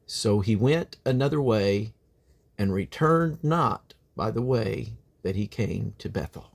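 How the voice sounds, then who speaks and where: A man's voice: lowish voice, big pitch swings, slow, creaky. A man reading the Bible aloud as a dramatic narrator, declaiming quoted speech with emphasis. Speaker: Bible reader.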